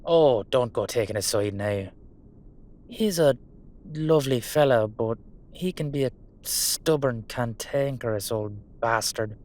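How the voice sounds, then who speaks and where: A man playing a gruff but fond Irish dullahan in a roleplay audio drama, speaking in a colloquial, hesitant, sweary way. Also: mid-range voice, unhurried, darkish tone, natural voice. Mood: fond, reassuring.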